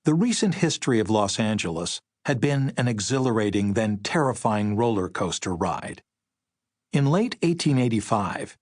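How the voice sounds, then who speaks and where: A man's voice: lowish voice, darkish tone, dry recording, studio quality. A man narrating history as an audiobook reader, in a scripted style.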